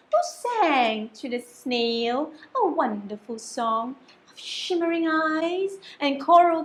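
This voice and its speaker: Very animated, high-voiced woman storyteller. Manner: sing-song, expressive, coaxing questions to small children. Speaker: children's story-time teacher. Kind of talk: read-aloud storytelling for young children.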